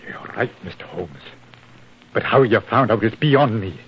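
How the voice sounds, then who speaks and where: An actor playing a fierce, tormented laird of an old Scottish castle, gruff and melodramatic, in 1940s radio style. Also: male voice, lowish voice, lively intonation, moderate pace, slight background noise, natural voice. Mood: anguished. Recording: dull 1940s radio recording.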